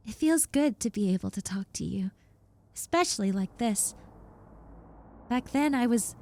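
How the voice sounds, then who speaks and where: A woman's voice: a little high, no echo. A woman playing a shy, rambling young roommate, a reformed obsessive, in an intimate audio roleplay with hesitant, stammering delivery. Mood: shy, sheepish. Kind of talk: roleplay audio acting, intimate one-sided conversation.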